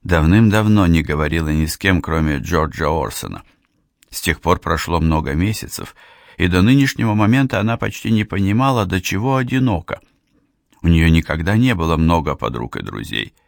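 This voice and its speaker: A man narrating an audiobook in a measured storytelling manner, reading translator's notes aloud. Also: low voice, animated, creaky, dry recording, studio quality. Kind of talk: scripted narration.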